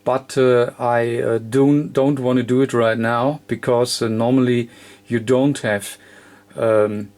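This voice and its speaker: A man who is a non-native English speaker, giving an unscripted hands-on electronics demonstration in halting, hesitant, fragmented phrases. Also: medium pitch, steady tone, a little mellow. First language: not English. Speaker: electronics tutorial presenter.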